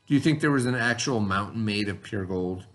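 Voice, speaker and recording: A man teaching through a read-aloud, pausing to explain things and put questions to his listeners. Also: fairly low pitch, a bit bright, soft background music.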